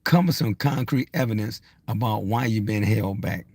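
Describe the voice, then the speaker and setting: A man's voice: lowish voice, normal speed, gravelly, a little mellow, slightly rough, no room sound. A man around sixty giving a blunt, insistent motivational rant, unscripted, hammering points through repetition. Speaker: social media motivational speaker.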